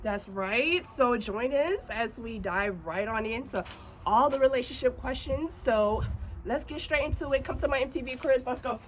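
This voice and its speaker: A woman's voice: a little high, moderate pace, a little hiss or hum. A woman hosting, delivering an upbeat introduction to a casual show. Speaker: host.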